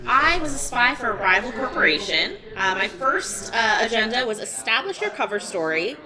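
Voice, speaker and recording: Lively high-voiced woman amid crowd noise. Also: normal speed. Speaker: guest player, podcast host. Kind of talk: casual chat at a live game panel. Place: busy gaming convention, live event. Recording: live convention hall with crowd noise.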